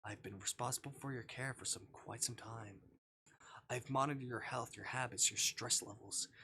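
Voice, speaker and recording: A man voicing a calm, controlling visual novel kidnapper who speaks quietly and clinically, as if he were a caring partner. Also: mid-range voice, moderate pace, noisy background, lightly acted. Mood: cold, possessive. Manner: quiet, composed, clinical, condescending. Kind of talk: visual novel character reading.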